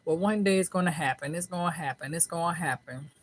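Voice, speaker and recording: A woman's voice: on the low side, fast. A middle-aged woman giving a casual tarot reading, talking in a chatty, folksy way, swearing freely and often checking in with "right?". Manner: colloquial American speech. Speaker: tarot reader.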